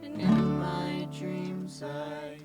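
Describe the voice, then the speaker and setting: A woman singing a slow acoustic blues song in a radio studio with drawn-out phrases. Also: on the low side, fairly even intonation, loud music behind the voice. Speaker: singer.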